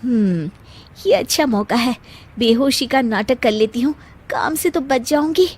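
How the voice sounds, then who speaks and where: A woman's voice: fairly high pitch, lively intonation, unhurried, breathy edge. A woman voicing a sly, lazy young daughter-in-law muttering her schemes in a cartoon story. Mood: scheming. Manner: scheming, self-satisfied asides.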